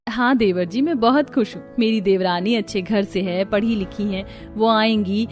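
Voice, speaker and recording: Woman as a meek, mellow-voiced young daughter-in-law. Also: medium pitch, normal speed, soft background music, natural voice. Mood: gentle. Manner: soft-spoken, earnest.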